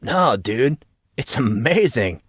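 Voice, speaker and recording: A man acts out a teenage dude in an audiobook, excitable yet laid-back. His speech is slangy and full of amazement, in a dry recording. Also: medium pitch, breathy edge, dry recording, exaggerated voice. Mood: awestruck. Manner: slangy, drawn-out dude talk.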